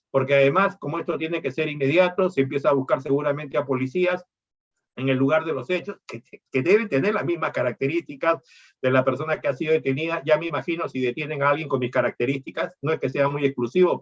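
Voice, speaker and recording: A man's voice: medium pitch, dull audio. A middle-aged man from Peru, lecturing on law and explaining things with rhetorical questions.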